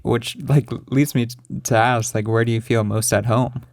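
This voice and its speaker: A man's voice: medium pitch, normal speed, no room sound. Chill canadian-born guy hosting a podcast, easygoing, lots of mm-hmms, dry sound. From West Coast of Canada. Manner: relaxed, encouraging, lots of affirming murmurs. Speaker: podcast host. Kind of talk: casual interview chat.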